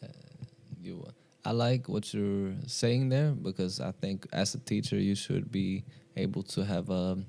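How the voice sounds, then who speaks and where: A man's voice: deep voice, steady tone, a little slow, vocal fry, mellow. A man, talking things through thoughtfully in a casual chat, with lots of "um" and "like". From Sweden. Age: 20s. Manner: thoughtful, rambling, with many fillers. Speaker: guest. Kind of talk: casual discussion.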